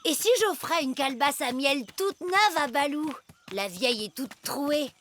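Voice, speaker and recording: Very high, bright little boy. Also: moderate pace, a little hiss or hum. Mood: frustrated. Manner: pleading, earnest. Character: eager, sensitive, stubborn young jungle boy. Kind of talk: cartoon dub acting.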